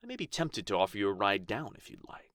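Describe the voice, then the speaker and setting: A man's voice: lowish voice, huge pitch swings, quick pace, a bit bright, no room sound, clean studio sound, exaggerated voice. A man playing a proud, pompous male dragon in a fantasy ASMR roleplay, with archly formal, theatrical phrasing. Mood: softening, grateful. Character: proud, haughty injured male dragon, grudgingly grateful, teasingly possessive.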